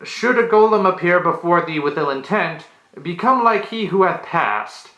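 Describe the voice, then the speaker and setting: A man's voice: sits high, expressive, normal speed, sharp tone, reverberant, lo-fi sound. A man playing a nervous medieval tavern steward, speaking in mock-Shakespearean monologue with hesitant ums and theatrical, falsely reassuring archaic diction. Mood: nervously encouraging.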